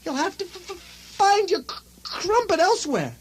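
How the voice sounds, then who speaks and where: Very high, stuttering, panicky nobleman. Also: sing-song. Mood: agitated. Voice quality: heavy comic stutter. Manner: hysterical, overwrought, halting. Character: panicky, stuttering, excitable Scottish nobleman in a comedy. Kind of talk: comic radio play acting. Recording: faint noise on old radio recording.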